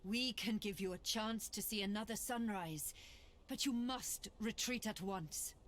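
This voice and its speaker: A woman's voice: mid-range voice, measured pace, a bit bright, slight background noise, close to an everyday voice. A woman playing a noble, resolute hero commander in a video game, firm, earnest and formal.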